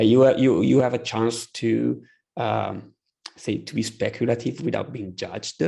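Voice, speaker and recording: A man with an Italian accent talks in a casual podcast chat. His voice is heavily gravelly with a lot of fry, and he jokes and keeps restarting his sentences. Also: medium pitch, a little mellow. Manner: joking, halting, many restarts. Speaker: podcast co-host. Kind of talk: casual video call chat.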